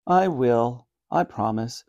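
A man's voice: mid-range voice, lively intonation, takes it slowly, a little mellow, no echo. A man voicing an earnest, nervous young boy in a storybook reading, close to his own voice.

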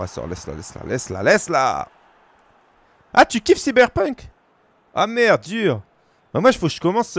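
A man in his thirties from France, streaming a football game and chatting casually with viewers, breaking into shouts at big moments. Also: mid-range voice, no echo. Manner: excitable, shouts at goals, chats casually with viewers. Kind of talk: live gaming commentary to chat. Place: small room at home.

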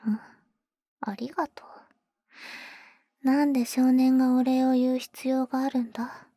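A woman playing a devoted, tsundere female vampire in a whispered Japanese ASMR roleplay, speaking haltingly and bluntly, very close to the microphone. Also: sits high, flat delivery, mellow, no room sound. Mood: relieved, soft. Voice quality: breathy, whispered. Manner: halting, murmured, blunt boyish phrasing. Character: protective, tsundere older-sister female vampire, tenderly devoted. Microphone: very close, dry ASMR microphone.

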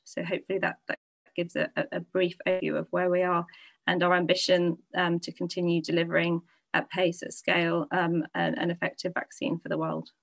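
A woman presenting at a webinar on a video call, steady with frequent "um" pauses. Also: fairly low pitch, vocal fry, low-quality recording. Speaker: pharmaceutical policy director, webinar speaker.

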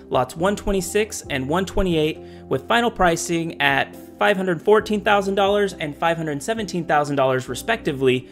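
A man who works as a real estate agent, narrating a scripted update on new home prices and incentives in a steady, informative way. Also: a little high.

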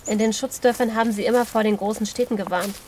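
A woman's voice: a little high, fairly even intonation, fast, darkish tone, slight background noise, close to an everyday voice. A woman playing a hopeful, inquisitive survivor in a horror audio drama. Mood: questioning.

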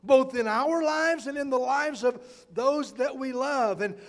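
A man's voice: very high-pitched, a little slow. An older man preaching a sermon as a pastor, earnest and emphatic, drawing out his key words. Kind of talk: sermon for an online church broadcast. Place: church stage in a large auditorium. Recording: long hall reverb.

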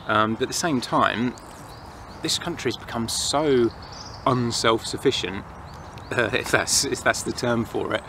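A man's voice: medium pitch, measured pace. A man in his thirties with an English accent, rambling casually and reflectively to camera with his opinions while outdoors in a forest. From England. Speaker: outdoor vlogger. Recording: noisy outdoor ambience, distant planes and traffic.

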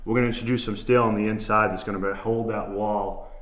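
A man in his thirties with a lowish voice walks a visitor through masonry repairs, laying out each step in a plain, methodical way. The lapel mic picks him up inside a roomy old barn with a faint hiss underneath. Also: little pitch movement, fast, fairly bright tone, distant mic. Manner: plain, methodical technical explanation. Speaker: masonry contractor. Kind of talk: explaining restoration work on a site tour. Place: large old barn and outdoor ruins. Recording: echoey barn room, faint noise.

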